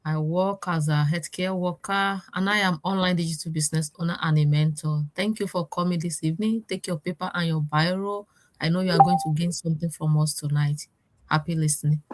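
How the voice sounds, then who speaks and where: A woman from Nigeria, a panelist on a video call, greeting viewers in a brisk, friendly way. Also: lowish voice, steady tone, poor audio.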